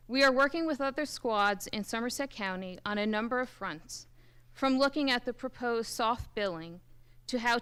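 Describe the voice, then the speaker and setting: A woman reading a prepared public statement in a formal, measured way with deliberate emphasis, as a representative at a public meeting. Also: a little high, fairly bright tone, smooth. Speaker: first aid squad representative. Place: public township committee meeting.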